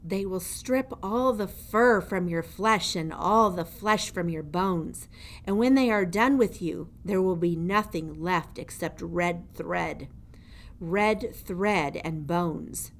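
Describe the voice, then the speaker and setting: A woman's voice: fairly low pitch, slow pace. A woman voicing a grim, theatrical old male dungeon jailer in a storybook, ominous and emphatic. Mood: ominous warning. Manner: ominous, emphatic, refers to himself in third person. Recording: noticeable background noise.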